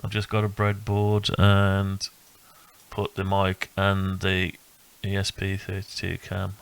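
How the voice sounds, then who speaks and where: A man giving a casual, unscripted walkthrough of a hobby build, thinking aloud in a halting, rambling way. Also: sits low, monotone, vocal fry, clear, resonant tone. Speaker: electronics hobbyist presenting own build.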